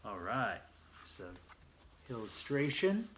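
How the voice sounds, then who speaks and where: A man telling a children's story aloud, reading a little haltingly and repeating words as he goes. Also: mid-range voice, sing-song, fairly bright tone, a little hiss or hum.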